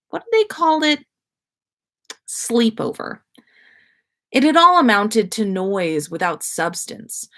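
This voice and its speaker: A woman narrating a written story aloud in an expressive, measured storybook manner. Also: medium pitch. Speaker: podcast host reading listener fan fiction.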